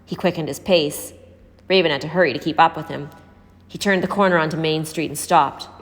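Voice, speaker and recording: A woman's voice: low-pitched, fairly quick. A woman reading a story aloud as scripted narration. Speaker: story reader. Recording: hall-like reverb.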